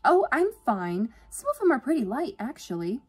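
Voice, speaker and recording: A woman reader puts on a gushing young woman from a romance audiobook, the dramatic, endlessly cheerful older sister. Her voice jumps high and squeaky, full of overenthusiastic warmth. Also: very animated, moderate pace, slightly rough, heavily acted. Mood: gushing delight. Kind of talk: audiobook character voice in a romance novel.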